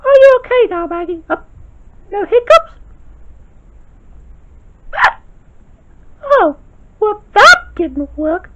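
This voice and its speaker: A woman's voice: extremely high pitch, very animated, measured pace, fairly bright tone, full character voice. A woman voicing a cheerful young boy who fusses over and soothes a baby in a homemade toy play, on a dull lo-fi home recording. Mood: coaxing. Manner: chatty, fussing and soothing.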